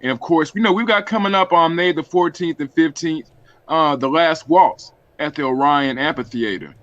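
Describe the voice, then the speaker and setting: A man's voice: on the high side, moderate pace, fairly bright tone, dry recording. A man talking casually and at length as a radio panelist, informal and conversational, on slightly dull audio. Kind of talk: casual unscripted radio talk.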